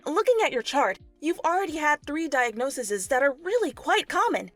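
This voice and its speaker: Woman playing a calm, frank clinician, higher-pitched. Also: no room sound, soft background music. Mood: reassuring. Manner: measured, professional, matter-of-fact.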